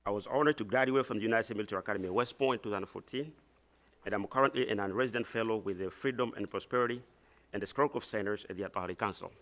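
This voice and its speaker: A man in his thirties with a Congolese accent, reading formal prepared testimony as an expert witness in a measured way. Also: lowish voice, fairly bright tone. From eastern Democratic Republic of the Congo. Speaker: expert witness at a legislative hearing.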